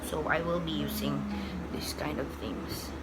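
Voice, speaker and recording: A woman's voice: sits low, a little slow, very noisy. A young Filipino woman with a Filipino accent chatting casually through her first makeup tutorial at home, rambling and correcting herself as she goes. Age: young adult. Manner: rambling, self-correcting, giggly asides. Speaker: beauty vlogger. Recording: background music playing.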